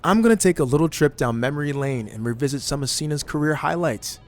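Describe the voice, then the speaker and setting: An actor playing an ambitious, determined champion wrestler in scripted game dialogue, earnest and persistent. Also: male voice, a little high, expressive, fast, natural voice.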